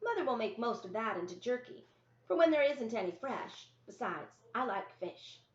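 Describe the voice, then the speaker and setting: A woman's voice: a little high, big pitch swings, moderate pace. A practical, bossy big sister from a storybook, read by a woman. She speaks plainly and firmly, in an echoey room with slightly dulled sound. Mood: matter-of-fact. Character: practical, bossy young girl, older sister. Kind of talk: children's novel read-aloud. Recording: roomy, echoey, dull lo-fi sound.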